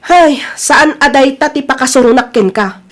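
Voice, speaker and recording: A woman's voice: high-pitched, normal speed, close to an everyday voice. A woman playing a hard-working, easily irked young Filipino elder sister in an audio drama, with a put-upon, complaining manner. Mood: irritated. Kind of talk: dramatised audio story acting.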